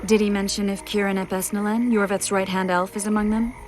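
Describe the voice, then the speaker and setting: A woman plays a cool game sorceress with a mid-pitched voice, poised and dryly wry. Clear watery ambience runs behind her. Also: level tone, fairly quick, a little mellow, a little hiss or hum, close to an everyday voice. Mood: wry, curious. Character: cool, wry female spellcaster companion. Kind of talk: video game voice acting.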